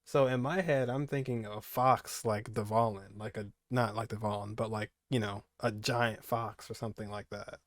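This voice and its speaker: A man's voice: fairly low pitch, fairly even intonation, moderate pace, hardly any pauses, no echo, clean studio sound. A young man giving casual, rambling reaction commentary as a gaming streamer, repeating phrases for emphasis.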